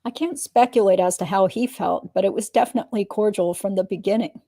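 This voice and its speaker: A woman acting as a female witness under questioning, with a soft, mellow tone and a mid-range voice. She answers carefully and commits to little, as in a dramatic reading of a deposition. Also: mid-range voice, a little fast, natural voice. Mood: guarded. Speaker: reader playing a deposition witness.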